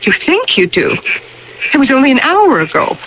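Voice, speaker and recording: A woman's voice: sits high, wildly swooping pitch, moderate pace. An actress playing a devoted, anxious middle-aged wife in a radio drama, earnest and urgent as she tells and lives her story. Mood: worried, insistent. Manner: earnest, urgent, repeating herself. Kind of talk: radio drama acting and first-person narration. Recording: muffled, noisy old radio broadcast.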